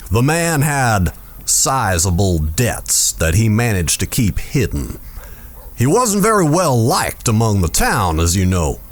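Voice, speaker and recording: An actor playing a smooth, scheming town boss in a western audio drama, measured, self-assured and insinuating. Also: male voice, on the low side, big pitch swings. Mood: smug, insinuating.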